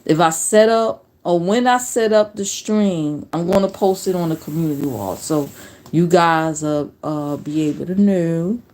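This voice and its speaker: A woman in her late forties chatting casually on a live stream, telling stories in a relaxed, slangy way. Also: lowish voice, a little slow. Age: 40s. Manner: relaxed storytelling with slang and laughs.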